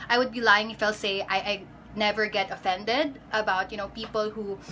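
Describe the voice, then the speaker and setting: A woman's voice: medium pitch, fairly even intonation, normal speed, sharp tone, a little hiss or hum, rough recording. A young woman in her twenties with a Filipino accent, chatting casually on a livestream, greeting viewers by name and slipping into Tagalog. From Philippines. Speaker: pageant candidate.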